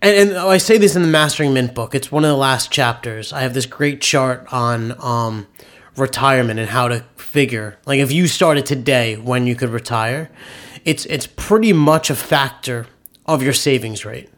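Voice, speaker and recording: A man's voice: medium pitch, moderate pace, a bit bright. A man with a nasal voice co-hosting a podcast, explaining finances in an enthusiastic, opinionated casual way. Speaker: podcast co-host, author.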